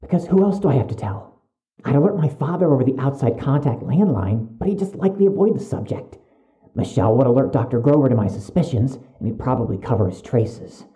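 Dark-voiced, formal, suspicious young man played by an actor. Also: mid-range voice, big pitch swings, fairly quick, natural voice. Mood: secretive, worried. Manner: precise, wordy, urgent, stiffly formal. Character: brisk, suspicious, formal young male medical student patient. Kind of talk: audio drama acting.

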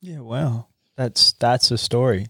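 A man hosting a relaxed, informal interview and chatting with his guest. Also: medium pitch, slow pace, husky, no room sound, studio quality. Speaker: interviewer, host. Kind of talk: casual chat.

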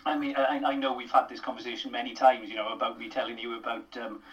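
A man's voice: mid-range voice, little pitch movement, fast, fairly bright tone, recorded from a distance, highs cut off. A middle-aged Welsh man, a drama lecturer, chatting as a podcast guest with many fillers and restarts. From Wales. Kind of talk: casual podcast interview.

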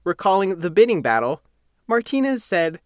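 A man's voice: sits high, sing-song, moderate pace, no room sound. A man reading a scripted local feature article as its reporter and narrator.

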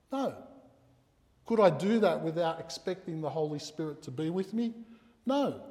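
Earnest preacher, Croatian-born, echoing hall. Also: male voice, a little high, darkish tone. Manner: earnest, emphatic, uses rhetorical questions. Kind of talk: sermon. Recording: reverberant hall sound.

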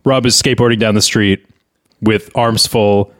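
A man's voice: on the low side, steady tone, normal speed, clean tone. A man co-hosting a comedy podcast, critiquing in casual banter with a dry, skeptical manner. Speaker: podcast host.

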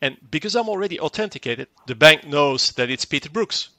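A man's voice: a little high, animated, brisk, bright, forceful tone, no room sound. A man whose first language is Dutch, explaining a product in an interview and often repeating words as he goes. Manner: fluent but repeats words and restarts, acts out short quoted exchanges. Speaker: tech company guest.

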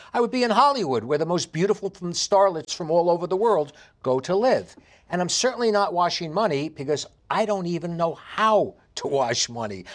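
A man in his fifties with a heavy New York accent, telling his life story in an interview with animated emphasis. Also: on the high side. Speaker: interview guest. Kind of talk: candid conversational interview.

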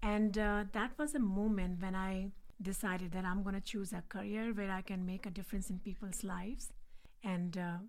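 Woman, Indian accent, forties, podcast guest, earnest, measured. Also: medium pitch, steady tone, a little mellow. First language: not English. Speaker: guest, nurse anesthetist. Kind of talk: podcast interview.

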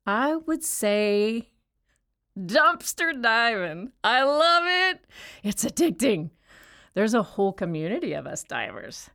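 A woman's voice: on the high side, very animated, slow pace, sharp tone, exaggerated voice. An actress playing a chatty, rambling woman inmate in an audio drama, telling stories in a wry tone. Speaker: actor in an audio play.

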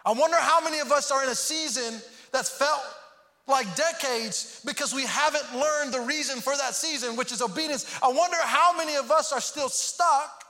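A man's voice: very high-pitched, moderate pace, sharp tone. A man from Houston preaching a sermon, earnest and prone to repeating words as he starts a thought. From Houston, Texas. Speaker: pastor. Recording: long hall reverb.

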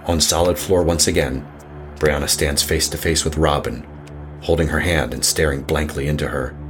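A man narrating a scripted horror story in a measured, suspenseful manner. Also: rumbling low, fairly even intonation, faint music behind.

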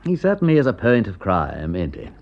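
An actor playing a breezy, wry, upper-class English gentleman detective with a drawling, flippant manner in a classic radio drama. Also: on the low side, huge pitch swings, a little fast, vocal fry, muffled recording, heavily acted. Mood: amused, curious. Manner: drawling, flippant, old-fashioned gentlemanly slang.

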